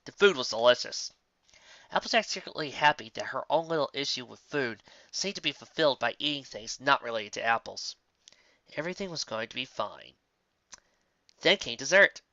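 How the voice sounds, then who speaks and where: Bright, forceful man narrating. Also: mid-range voice, lively intonation, moderate pace, dry recording. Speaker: story reader. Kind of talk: scripted narration. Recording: dull, muffled, very dry.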